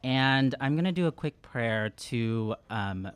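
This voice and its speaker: A man's voice: medium pitch, lively intonation, takes it slowly, clean tone, no room sound. A man in his thirties improvising his moves as a player in a casual tabletop roleplaying session, hesitant and thinking aloud at a close studio microphone. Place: small podcast studio. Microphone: close podcast microphone on boom arm.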